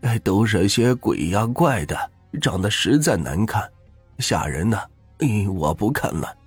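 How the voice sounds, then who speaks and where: A man voicing a frail dying old woman in a spoken ghost story, talking slowly in a trailing elderly way. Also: lowish voice, big pitch swings, breathy edge, no room sound, soft background music, full character voice. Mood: dazed.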